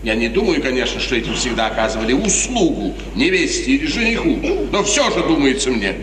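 An actor playing a smooth, scheming courtier in a florid, insinuating, falsely friendly manner, in 1950s radio theatre style. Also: male voice, medium pitch, big pitch swings, a little fast, far from the mic, lightly acted. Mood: pressing, insinuating. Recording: noisy echoey 1950s radio drama recording.

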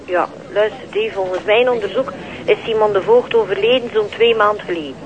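An actress playing a chirpy police researcher reporting findings in a radio drama, colloquial and matter-of-fact, with a Flemish accent. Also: female voice, mid-range voice, brisk, highs cut off, crowd noise, lightly acted.

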